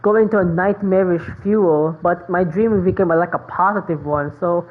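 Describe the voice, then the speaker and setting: A woman giving casual commentary as she plays a game, halting and thinking aloud. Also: fairly low pitch. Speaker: gaming streamer. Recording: narrow phone-like mic, faint noise.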